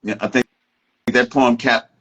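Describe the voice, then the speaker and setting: A man hosting a casual talk, commenting reflectively in a halting way as he repeats and rephrases himself. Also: mid-range voice, steady tone, a bit bright, highs cut off. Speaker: host.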